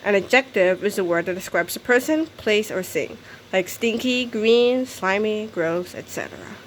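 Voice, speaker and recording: A woman reading a children's comic book aloud in an animated storytelling style. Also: mid-range voice, normal speed, slight background noise, rough recording. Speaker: story reader.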